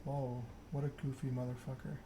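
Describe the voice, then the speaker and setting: A man narrating a story game aloud in ASMR style, reading in a measured way with occasional stumbles. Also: medium pitch, flat delivery, noisy background.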